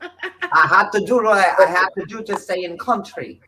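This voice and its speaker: A performer voicing a crude, brazen immigrant woman in improvised comedy, dropped articles and a halting, clenched delivery. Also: mid-range voice, a crowd in the background, lightly acted, poor audio. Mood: resigned, matter-of-fact. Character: crude immigrant woman with broken-English foreign accent, brazen. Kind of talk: improvised comic impersonation.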